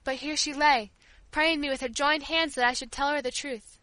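A woman's voice: high-pitched, fairly even intonation, normal speed, sharp tone, no room sound. A woman voicing a kindly, grieving old female servant who tells her tale tenderly in an audiobook. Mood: gentle, recounting. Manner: tender, fond, rambling storyteller. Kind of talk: audiobook character dialogue.

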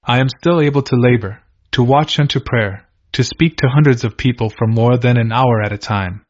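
Male reader, measured diary narration, mid pitch, somewhat dull audio. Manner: measured, steady first-person reading. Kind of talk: reading a diary aloud. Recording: slightly dull lo-fi recording.

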